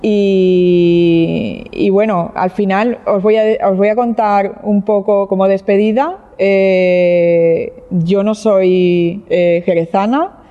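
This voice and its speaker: A woman's voice: medium pitch, fairly even intonation, slow pace. A woman from Catalonia, a winemaker, leading a wine tasting in an explanatory, heartfelt way, hesitating and restarting often. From Catalonia, Spain. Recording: dull lo-fi sound with long hall reverb.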